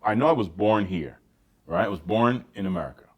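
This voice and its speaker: A man's voice: on the low side, a little fast, breathy edge, lo-fi sound. A man co-hosting a casual chat, often repeating words and phrases for emphasis. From Barbados. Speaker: podcast co-host and stand-up comic.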